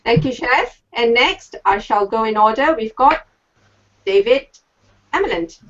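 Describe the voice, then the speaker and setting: A woman hosting a live online panel, moderating briskly and cheerfully. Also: on the high side, expressive, highs cut off. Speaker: host.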